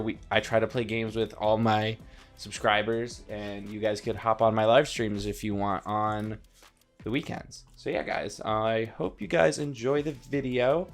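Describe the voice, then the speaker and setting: A man with a fairly low voice hosts a casual online video. He talks informally and rambles a little, with music playing under his voice. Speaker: video host. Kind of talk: casual vlog intro to camera.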